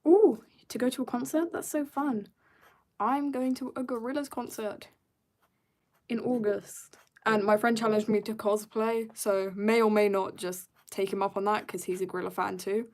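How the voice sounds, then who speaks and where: A teenage girl from South Africa chatting casually to her viewers while she draws, rambling and joking at herself, sometimes dropping to quiet mutters. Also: medium pitch, steady tone, normal speed. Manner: chatty, rambling, self-mocking asides. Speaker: art streamer. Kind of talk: casual chat while drawing.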